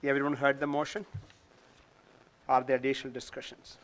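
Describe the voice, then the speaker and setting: A man chairing a town council meeting, calling motions and votes in a measured, procedural way. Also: medium pitch, level tone, fairly bright tone, rough recording.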